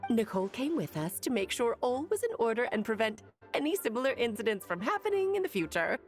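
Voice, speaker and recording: Sing-songy witch lady, a bit high, swoops around a lot, teasing and wise, soft game music behind. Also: sing-song, fast talker. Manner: lilting, theatrical, gently teasing. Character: ageless cheerful witch, knowing, playful, wise. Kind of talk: video game voice acting.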